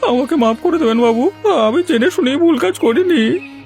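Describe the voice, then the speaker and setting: A man voicing a timid, poor village errand man in a cartoon, creaky and plaintive as he pleads and laments. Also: very high-pitched, expressive, moderate pace, a little mellow, soft background music, exaggerated voice. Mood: pleading. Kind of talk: cartoon voice acting.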